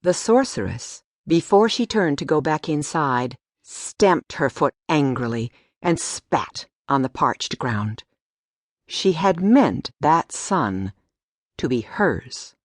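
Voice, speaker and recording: Woman narrator, lowish voice, unhurried, expressive, scripted storybook reading, dry studio sound. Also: no room sound. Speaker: audiobook narrator.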